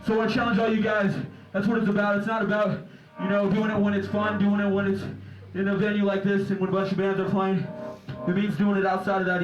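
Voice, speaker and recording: A man speaking from a venue stage, earnestly urging the crowd in a preaching style. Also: high-pitched. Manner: earnest, exhorting. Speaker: band member speaking from stage. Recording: echoey venue, dull sound, faint music.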